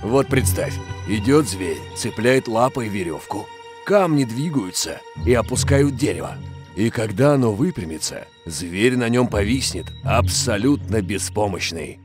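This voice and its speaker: A man's voice: lowish voice, lively intonation, a little slow, over music. A man voicing a sensible, helpful big bear in a Russian cartoon dub, with a reassuring way of explaining. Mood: calm, sensible.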